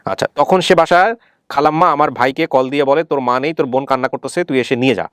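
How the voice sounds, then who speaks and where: A man's voice: medium pitch, fast talker, fairly bright tone, clean tone, dry recording, clean studio sound. A man hosting a family reunion show, questioning methodically and repeating points to confirm them. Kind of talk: probing interview, reading out and summarizing testimony.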